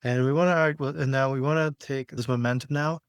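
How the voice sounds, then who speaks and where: A man working as a healing practitioner, guiding a client with questions and affirmations in a halting, checking-back way. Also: medium pitch, fairly even intonation, clean tone, no echo, clean studio sound. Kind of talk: guided session, gentle questioning.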